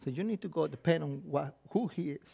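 A middle-aged man from Mexico with a Mexican accent, preaching a sermon and telling stories with lively quoted inner voices and frequent restarts. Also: fairly high pitch, a little fast, creaky, husky, highs cut off. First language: Spanish. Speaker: pastor.

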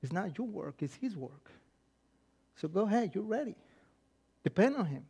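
A middle-aged man from Mexico with a Mexican accent, preaching a sermon and telling stories with lively quoted inner voices and frequent restarts. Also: high voice, moderate pace, creaky, husky. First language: Spanish. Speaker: pastor.

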